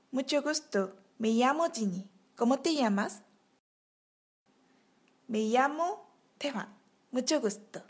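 A woman teaching a Spanish lesson, speaking slow, clear model phrases for her student to repeat. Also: sits high. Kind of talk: language drill lesson.

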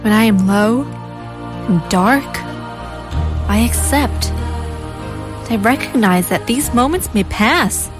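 Slow, dramatic female radio co-host. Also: fairly high pitch, lively intonation, over music. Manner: teasing, reads affirmations theatrically and dramatically. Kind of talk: casual morning radio banter.

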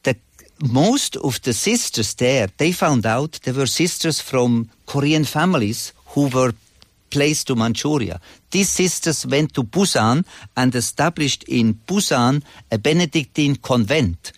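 A man in his sixties with a Swiss accent, speaking conversationally as an interview guest in halting, non-native English. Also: medium pitch, expressive, no echo. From Switzerland. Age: 60s.

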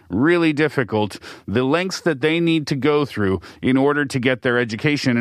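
A man's voice: mid-range voice, dry recording. A man from the East Coast of Canada hosting a radio show from a studio, explaining steadily with the odd filler. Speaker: radio host. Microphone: studio mic.

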